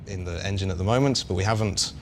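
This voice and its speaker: A man's voice: sits low, racing, a little hiss or hum. A man working as a game developer, casually answering questions with technical explanations.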